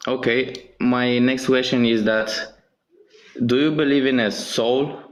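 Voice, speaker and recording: A man's voice: medium pitch, fairly even intonation. Hesitant man with a Romanian accent. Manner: hesitant, measured questioning. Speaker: caller. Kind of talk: call-in debate questions. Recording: lo-fi call audio.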